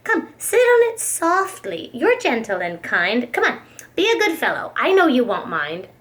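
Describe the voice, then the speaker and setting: A woman's voice: high voice, huge pitch swings, a little slow, a bit bright, full character voice. A woman voicing a lazy, self-centred female bird from a children's storybook, coaxing and complaining.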